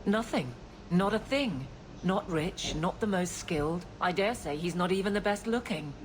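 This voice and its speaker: An actress playing an earnest, guarded guard captain who talks haltingly about her feelings in a video game. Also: on the low side, lively intonation, slight background noise, close to an everyday voice. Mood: vulnerable, resigned. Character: grown woman guard captain, earnest, awkward in love, frustrated. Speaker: game character.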